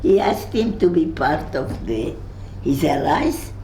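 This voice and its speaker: An older woman with a Hungarian accent, recounting memories to young visitors, halting and searching for words. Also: deep voice, very animated, mellow, reverberant, slight background noise. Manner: halting, searches for words, many fillers and restarts. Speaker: Holocaust survivor speaking to visitors. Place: room in her home.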